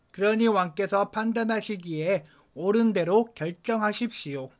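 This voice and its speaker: A man reads scripture aloud from a written text. His voice sits high for a male speaker. The delivery is that of scripted Bible narration, carrying both the narrative and the quoted dialogue. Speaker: scripture reader.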